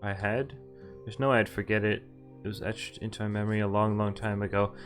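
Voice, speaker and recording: Low-key man reading a visual novel aloud. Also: level tone, a little fast. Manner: conversational, stumbles over lines and corrects himself. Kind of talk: live read-aloud of visual novel dialogue and narration. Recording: game music behind the voice. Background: visual novel music.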